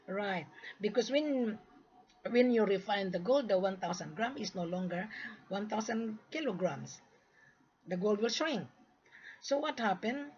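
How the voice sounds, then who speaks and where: A woman born in the Philippines who is Australian, giving an emphatic, insistent unscripted monologue in a husky voice, repeating her points as she explains. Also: mid-range voice. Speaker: gold trade seller's mandate presenting to camera. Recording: dull, lo-fi sound.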